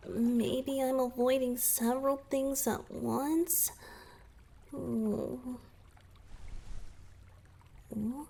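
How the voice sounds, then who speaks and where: A woman voicing a gentle young merman boyfriend in a romantic ASMR roleplay, soft-spoken and hesitant, often slipping into breathy whispers. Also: a little high, slight background noise, exaggerated voice. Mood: hesitant, coy.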